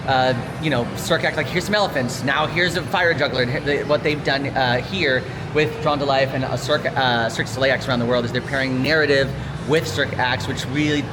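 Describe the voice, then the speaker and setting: A co-host of a review video chatting casually, halting and circling back to rephrase. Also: mid-range voice, steady tone, background noise. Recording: loud music behind the voice. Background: loud music.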